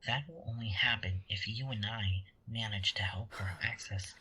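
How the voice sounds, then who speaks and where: An actor in a sci-fi audio drama playing a conniving angel, smug and taunting, with a breathy, husky voice and a theatrical, condescending delivery. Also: medium pitch, normal speed, bright, forceful tone. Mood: insistent.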